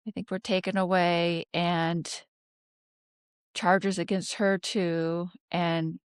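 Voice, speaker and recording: A woman host reads tarot cards in a slow, deliberate delivery. Her voice is smooth and clear, recorded close and dry. She thinks out loud, pausing often and putting questions to the air. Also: medium pitch, no echo. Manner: halting, pondering aloud with rhetorical questions. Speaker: tarot card reader, host. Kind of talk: unscripted tarot reading commentary.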